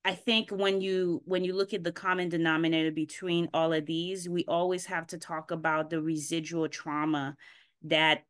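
A woman's voice: low-pitched, flat delivery, moderate pace. A woman who grew up in Haiti, answering as a podcast guest over a video call, thoughtful and searching, with many ums. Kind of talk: casual interview answers.

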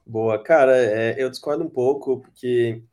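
A man's voice: mid-range voice, steady tone, measured pace, a little mellow. Brazilian countryside man, strong retroflex R. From Brazil, countryside interior. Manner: reflective, storytelling, hesitant restarts. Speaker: podcast guest, UX designer. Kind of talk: casual podcast discussion.

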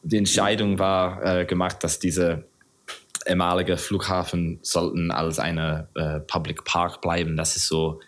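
Podcast guest: low-pitched man, English accent, non-native, casual and halting. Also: little pitch movement. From England. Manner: halting, non-native phrasing with frequent searching for words. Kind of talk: casual interview chat.

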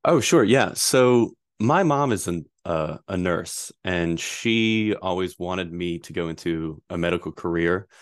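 A man in his thirties from Rhode Island, a guest answering interview questions in a relaxed, rambling way with frequent fillers. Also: deep voice, measured pace, few pauses, clean tone, dry recording, studio quality. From Rhode Island, USA. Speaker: guest, privacy attorney.

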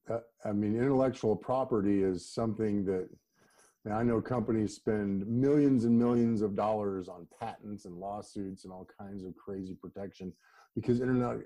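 A man hosting a casual podcast interview, talking in a halting, conversational way with frequent restarts. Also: lowish voice, dark tone. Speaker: podcast host.

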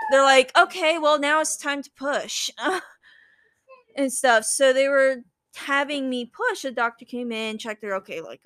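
Young female guest, fairly high voice, informal anecdotal delivery full of "like" and quoted lines, dry sound. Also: a bit bright, dry recording. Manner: chatty, peppers speech with "like" and quoted dialogue. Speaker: guest telling her birth story. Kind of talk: casual conversational storytelling.

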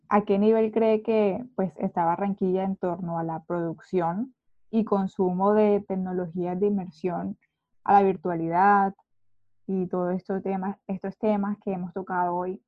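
A woman interviewing a guest, asking polite, measured questions in a casual conversation. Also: mid-range voice, level tone, no room sound. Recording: thin phone-like line.

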